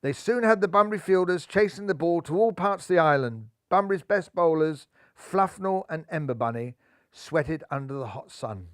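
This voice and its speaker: An older man in his sixties narrates a children's book with lively, theatrical delivery. His voice sits fairly high for a man. Recorded close on a lapel mic in a living room, with a dry sound. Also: normal speed, no echo. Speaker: storybook narrator. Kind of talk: expressive children's story reading. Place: living room, seated in armchair.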